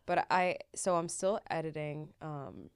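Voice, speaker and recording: A woman's voice: deep voice, unhurried, clean tone, no room sound, clean studio sound. A young adult woman co-hosting and producing a podcast, chatty and casual with lots of "like". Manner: chatty, uses "like" a lot, laughs easily.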